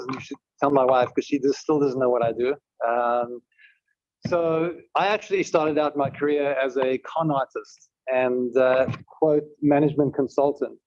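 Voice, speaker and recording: A man's voice: on the high side, a little mellow, no room sound. A man with a South African accent giving a conversational talk at a community event, often stopping and restarting his sentences. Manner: conversational, with frequent restarts and fillers. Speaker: guest speaker, tech CEO. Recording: muffled, dull event stream.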